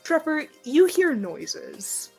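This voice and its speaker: A woman's voice: sits high, very animated, extremely slow, vocal fry, faint music behind. A woman narrating a tabletop game as game master, improvising in informal, hesitant phrasing.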